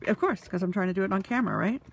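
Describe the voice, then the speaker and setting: A woman's voice: medium pitch, very animated, very fast, vocal fry, a little hiss or hum. A woman with a stuffy, sniffly nose, chatting casually with lots of fillers while she demonstrates a craft tool. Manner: talks while handling tools. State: congested, sniffly.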